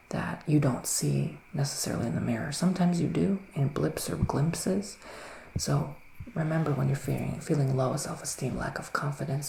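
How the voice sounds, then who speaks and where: A man speaks in a soft, creaky half-whisper, playing a gentle witch in an ASMR roleplay. He is soothing and reassuring, leaving pauses between phrases. The room rings slightly around his voice, over a faint hiss. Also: a little high, echoey room, poor audio. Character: gentle, reassuring male witch giving psychic readings. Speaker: ASMR performer playing a psychic reader.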